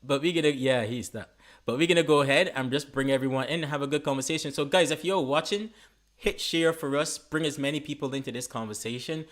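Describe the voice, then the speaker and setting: A man's voice: a little high, fast, fairly bright tone. Easygoing Caribbean man hosting a live chat. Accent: Caribbean English. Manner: laughs often, easygoing and joking. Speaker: host. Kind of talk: casual live-stream chat.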